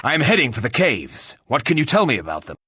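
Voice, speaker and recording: A rude warrior hero for a fantasy game. His husky voice is formal and deadpan, yet moves widely in pitch. The sound is dry and studio-close, with a dismissive, sardonic edge. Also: brisk, no room sound, heavily acted. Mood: curt. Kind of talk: video game voice acting.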